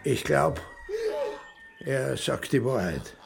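A man voicing a callous, scheming male farmer, gruff and matter-of-fact. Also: mid-range voice, lively intonation, takes it slowly, crowd noise, voice pushed up, exaggerated voice. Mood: cold plotting.

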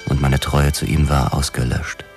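An actor playing a haunted, hard-boiled 1940s police officer who narrates a crime radio drama. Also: male voice, extremely low pitch, flat delivery, moderate pace. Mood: haunted, grim. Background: prominent music.

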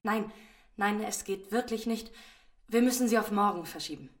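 A woman's voice: medium pitch, normal speed, noisy background, close to an everyday voice. An actress playing a proud, loyal young Parisian lady in a radio drama, speaking politely and formally. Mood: flustered, composed.

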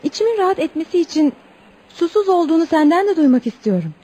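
High-voiced earnest young bride-to-be. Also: female voice, big pitch swings, fast, mellow. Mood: pleading. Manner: earnest, theatrical storytelling. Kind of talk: Turkish radio theatre acting. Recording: noisy old radio drama recording.

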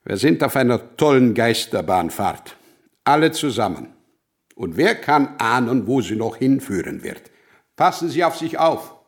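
An actor playing a brilliant, self-important, melancholic mathematician in a radio drama, with a husky voice and a pompous, wry, deliberate manner. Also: male voice, mid-range voice, very animated. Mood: brisk, musing.

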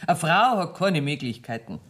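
Lively, shrewd Bavarian moneylender woman. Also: sits low, normal speed. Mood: gracious, persuasive. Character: shrewd woman moneylender feigning innocence, casually generous. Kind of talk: dialect radio play acting.

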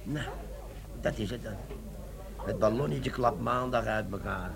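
Gravelly, roguish army sergeant. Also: male voice, mid-range voice, measured pace. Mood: scheming. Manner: cheeky, glib. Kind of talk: Dutch comic crime radio play acting.

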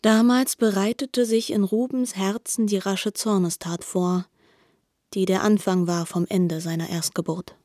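A woman narrating a literary radio drama in a measured, articulate way. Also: medium pitch, fairly even intonation, dark tone, no room sound, clean studio sound.

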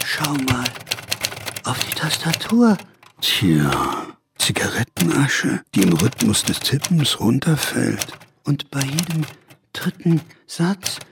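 Creaky-voiced, volatile, sardonic haunted writer. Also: male voice, medium pitch, heavily acted. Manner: theatrical, shifting from hushed narration to startled exclamation. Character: agitated, sardonic writer haunted by Orwell's typewriter. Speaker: narrator and lead. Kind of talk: radio drama monologue. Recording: clear background noise with typewriter clatter.

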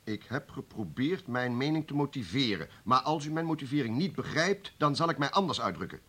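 An actor playing a stern, distrustful official in a radio play, curt and formal. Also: male voice, mid-range voice, very animated, brisk, pushed and bright. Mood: stern, irritated. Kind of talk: Dutch radio play acting.

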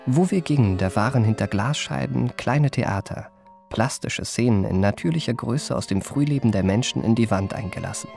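A reflective gentleman memoirist acted by a man tells his own story in a radio play. His mid-pitched male voice has a noticeable fry, and he unrolls long, ornate sentences with literary care. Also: soft background music, close to an everyday voice. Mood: reflective, marvelling. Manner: ornate, measured literary delivery. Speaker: narrator. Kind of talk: scripted radio play narration.

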